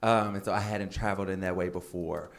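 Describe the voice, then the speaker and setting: A man's voice: sits low, fairly even intonation, brisk, creaky. A man on a panel telling a story in a chatty way, with many fillers. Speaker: alumni panelist. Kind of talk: panel talk at a keynote.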